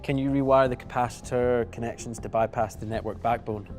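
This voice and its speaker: A man's voice: mid-range voice, fairly even intonation, fairly quick, a little mellow, soft background music, lightly acted. A man in his thirties playing a brainy, precise, grave scientist in a TV drama table read. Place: large meeting room full of people.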